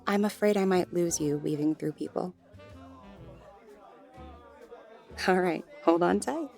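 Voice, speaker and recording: A woman's voice: lowish voice, normal speed, creaky, a little mellow, soft background music. A woman playing a friendly, flirtatious gallery worker in an intimate audio roleplay, soothing and teasing as she talks straight to the listener. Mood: soothing, reassuring.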